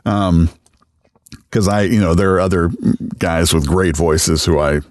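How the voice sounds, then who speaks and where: A middle-aged man, a radio voice talent, chatting casually in an interview, relaxed and self-deprecating, with many fillers. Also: low voice, unhurried, dry recording, clean studio sound. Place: podcast studio.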